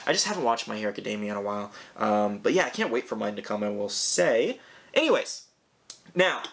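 A young man with a hoarse, raspy voice from a sore throat, chatting casually as he unboxes a collectible toy in his home room. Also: mid-range voice, a bit bright. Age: young adult. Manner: chatty, rambling, eager.

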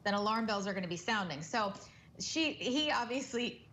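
A woman's voice: medium pitch, expressive, normal speed, fairly bright tone, slight background noise. A woman in her forties, a family law attorney joining a TV show from a home study, speaking frankly and conversationally. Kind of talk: TV legal commentary. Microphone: video call.

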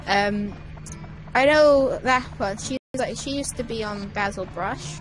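A woman's voice: medium pitch, a little slow, faint music behind, low-quality recording. A woman chatting casually over a game stream, rambling and giggling with lots of fillers. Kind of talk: casual chat.

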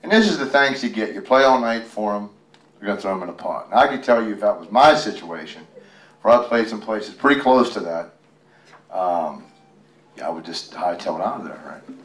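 A man's voice: on the low side, expressive, a little fast. A man reading a picture book aloud to a group, chatty and folksy, with rambling ad-libbed asides to his listeners. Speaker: guest storyteller, tribute performer. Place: public library reading event. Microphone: handheld microphone. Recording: roomy, echoey, distant microphone pickup.

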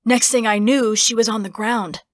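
A woman's voice: on the high side, fairly even intonation, moderate pace, close to an everyday voice. A woman voicing a plain-spoken, sentimental father in an audiobook, casual and wistful. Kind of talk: audiobook character acting.

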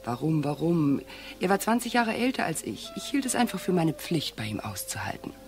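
An actress playing a cool, mocking widow with a self-possessed, provocative manner in a radio crime drama. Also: female voice, deep voice, sing-song, normal speed, faint music behind. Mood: bitter contempt. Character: cool, scornful, provocative widow of a murdered man.

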